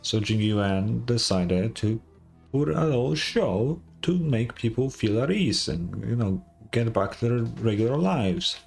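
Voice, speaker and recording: Male game streamer, casual reactions, very creaky, slow delivery over game music. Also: medium pitch, animated, darkish tone. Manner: informal, sweary, brief reactions. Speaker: gaming streamer.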